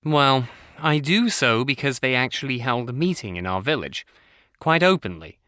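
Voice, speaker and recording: A man's voice: medium pitch, animated, moderate pace, sharp tone, no room sound, clean studio sound. An actor playing a naive young provincial nobleman in an audio play, prissy, anxious and haughty. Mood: indignant.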